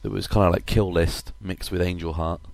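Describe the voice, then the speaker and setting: A man with a cold and a husky voice, hosting a casual podcast chat with many hesitant fillers. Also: sits low, fairly even intonation, brisk, a little mellow. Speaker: podcast host.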